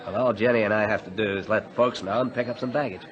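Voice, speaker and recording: A man plays a friendly, slightly hesitant American in a radio drama. The voice sits fairly low, in a dull recording with faint chatter. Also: fast, natural voice. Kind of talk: old-time radio drama dialogue.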